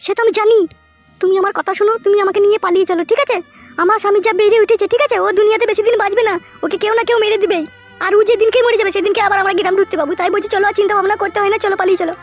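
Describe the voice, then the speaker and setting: A cartoon village wife with an extremely high, shrill voice. She talks very fast, nagging and insisting with a sharp, foul-mouthed tongue. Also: steady tone, dull audio, faint music behind. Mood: pleading, insistent. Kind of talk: comic cartoon dialogue.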